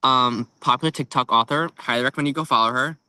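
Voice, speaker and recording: A man with a bright, clear-edged voice co-hosts a book podcast. He talks casually and informally, feeling his way through phrases with plenty of "like". The sound is close and dry, at an average male pitch. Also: fast, no echo. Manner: informal, tentative phrasing with frequent "like". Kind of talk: casual chat about books.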